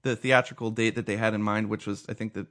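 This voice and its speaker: A man's voice: lowish voice, level tone, fast, fairly bright tone. A man hosting a casual chat about film news, talking with many fillers and restarts.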